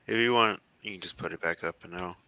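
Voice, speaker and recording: An American man casually narrating a slideshow of his photos in a halting, unscripted way. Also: deep voice, bright, forceful tone, no room sound, poor audio. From Virginia and North Carolina, United States. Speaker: guest presenting his photos.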